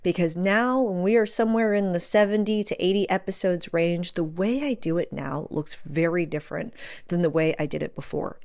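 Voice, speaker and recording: A woman's voice: fairly low pitch, moderate pace, gravelly, darkish tone. A woman podcast host talking casually on her own, giving advice conversationally, repeating words for emphasis and asking rhetorical questions.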